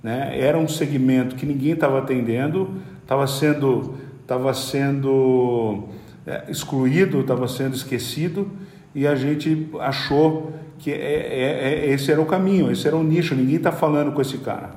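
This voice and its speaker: A man's voice: mid-range voice, normal speed, darkish tone. A middle-aged man with a Brazilian Portuguese accent, a podcast guest who tells business stories conversationally and often stumbles and repeats words as he explains. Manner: hesitant, repeats words while explaining at length. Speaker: podcast guest, company executive. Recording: roomy echoey room.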